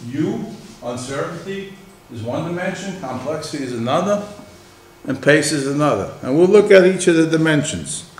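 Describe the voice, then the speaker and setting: A man's voice: on the high side, expressive, normal speed. Echoey lecturing man with New York accent. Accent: New York area American. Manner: conversational, questions the class and answers himself. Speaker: professor. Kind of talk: university lecture. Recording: very echoey room, mic far off, faint hiss.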